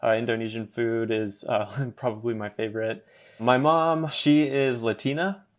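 Halting young man from Los Angeles. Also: mid-range voice, steady tone. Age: 20s. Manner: halting, many fillers, laughs easily. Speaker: podcast guest. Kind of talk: casual conversation.

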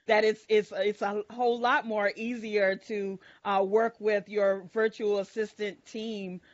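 A woman's voice: medium pitch, no echo. A woman panelist speaking earnestly on a video call, with lots of "you know" fillers. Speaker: panelist entrepreneur. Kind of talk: casual advice on a panel. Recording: dull, dry video call audio.

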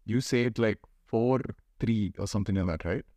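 A man's voice: fairly low pitch, moderate pace, dark tone, dry recording. A young man with an Indian accent hosting a casual podcast chat, playful and teasing. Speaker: podcast host.

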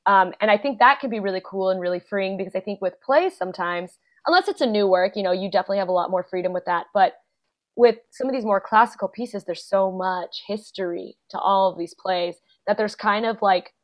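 A young adult woman, a guest actor and playwright, chatting casually in an interview, full of fillers and quick laughs. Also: medium pitch. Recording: dull lo-fi podcast audio.

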